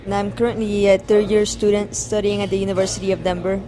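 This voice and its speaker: A woman's voice: medium pitch, level tone, quick pace, music in the background, poor audio. A woman in her twenties from El Salvador, a university student answering interview questions in an earnest way, sometimes repeating herself. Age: 20s. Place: coffee shop.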